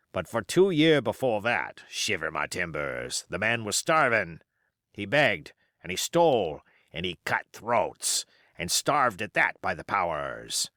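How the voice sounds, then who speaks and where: Man performing a wily pirate cook, fifties, low pitch, swooping intonation, salty, boastful, storybook villain. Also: fairly bright tone, no room sound, clean studio sound, exaggerated voice. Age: 50s. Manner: salty, rambling, folksy boasting. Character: wily fifty-year-old one-legged pirate sea cook, boastful and flattering.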